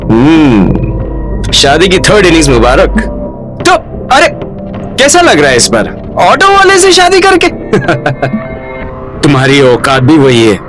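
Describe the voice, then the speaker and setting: A man's voice: mid-range voice, very animated, measured pace. An Indian man playing a sneering, menacing ex-lover turned blackmailer in a TV crime drama, mocking and taunting. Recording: loud dramatic background music.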